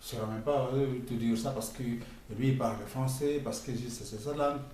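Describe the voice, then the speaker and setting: Middle-aged Congolese man, French with Congolese accent, scolding vlogger, mid-pitched, mic distant, heavy echo. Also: fairly even intonation, a little mellow, slight background noise. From Democratic Republic of the Congo. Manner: emphatic, scolding, halting with frequent pauses. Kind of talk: casual spoken commentary. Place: outdoors near a construction site. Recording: echoey and distant with faint ambience.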